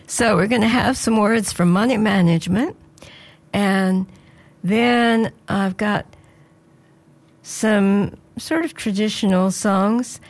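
Woman, radio presenter, slightly hoarse, earnest devotional chat, medium pitch. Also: slow. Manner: earnest, prayerful, conversational.

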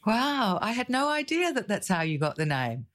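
A woman's voice: mid-range voice, big pitch swings, moderate pace. A woman interviewing a guest in a casual, conversational style.

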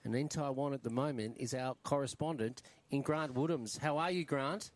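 Jovial Australian radio host. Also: male voice, medium pitch, moderate pace. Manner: jovial, laughs often, chatty. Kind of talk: casual radio chat.

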